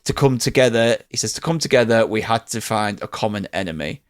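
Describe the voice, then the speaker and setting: A man's voice: lowish voice, expressive, brisk, no echo, studio quality. A man with a British accent giving an enthusiastic, informal film review in casual conversation. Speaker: podcast host reviewing films.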